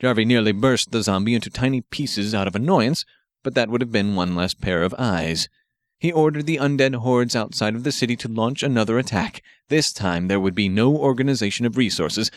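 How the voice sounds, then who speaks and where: Male narrator for action fiction audiobook: mid-pitched voice, scripted read, dry studio-like sound. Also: brisk, no echo.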